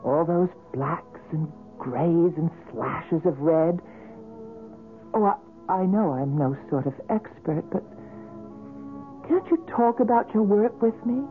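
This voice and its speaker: A patient concerned girlfriend type, soft coaxing manner, pitch moves a lot, old radio drama, tinny with music. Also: animated, slow. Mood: gentle, coaxing. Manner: soothing, coaxing, earnest. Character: gentle, patient, concerned woman companion of a brooding artist. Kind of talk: 1970s radio drama acting. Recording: narrow-band old radio recording, music.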